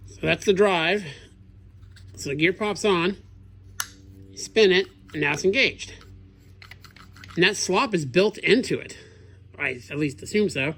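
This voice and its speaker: Man, slightly high voice, congested with a cold, casual shop talk, thinking aloud. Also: expressive, measured pace, creaky, pauses often, fairly bright tone. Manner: thinking aloud, plain-spoken, musing. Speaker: machinist, channel host. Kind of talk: casual shop commentary while working. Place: machine shop workbench.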